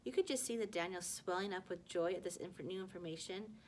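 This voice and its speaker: A woman reading a children's novel aloud like a teacher to a class, with animated dialogue and the odd stumble. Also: lowish voice, brisk, slight background noise. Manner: animated dialogue, stumbles over words and corrects herself.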